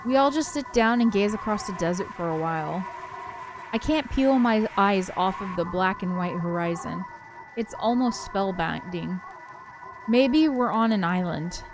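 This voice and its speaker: A woman's voice: medium pitch, animated, unhurried, background noise. A woman reading aloud as an anxious, introspective young ghost woman, hesitant and reflective, in visual novel narration. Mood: melancholy, pensive.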